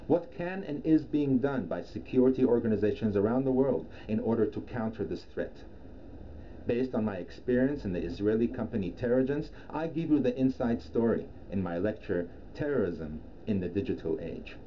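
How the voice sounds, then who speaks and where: A middle-aged man from Iran, a native Persian speaker, giving a formal, measured scripted presentation as a professional lecturer. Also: medium pitch, animated. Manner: formal, measured, deliberate phrasing. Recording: dull lo-fi sound, clear background noise.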